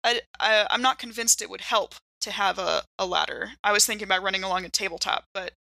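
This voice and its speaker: A woman talks casually as a player in a tabletop game, working out her moves aloud. Her voice is mid-pitched and notably bright. It is recorded dry and close, without room echo. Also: fairly even intonation, quick pace, vocal fry. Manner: thinks aloud with frequent "like". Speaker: player in an actual-play podcast. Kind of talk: casual chat, improvised game description.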